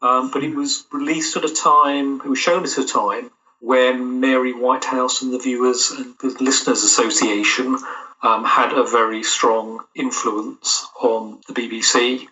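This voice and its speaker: Man, mature, bright forceful tone, thoughtful interviewee, slightly lo-fi sound. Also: mid-range voice, fairly even intonation, unhurried. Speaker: audio drama producer, interview guest. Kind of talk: casual interview answers. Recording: dull, lo-fi call-like sound.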